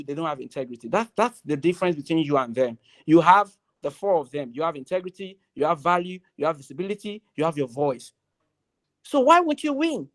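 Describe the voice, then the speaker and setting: Emphatic young Nigerian speaker. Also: mid-range voice. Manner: emphatic, repeats key phrases, loud voice. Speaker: graduation ceremony speaker. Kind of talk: motivational speech.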